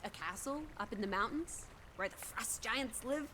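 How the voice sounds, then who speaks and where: Woman playing a lively teenage girl, very animated. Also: on the high side, moderate pace, noisy background, natural voice. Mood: playful. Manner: teasing, playful, exclaiming. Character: lively, cheeky teenage girl companion with playful imagination. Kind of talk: video game voice acting.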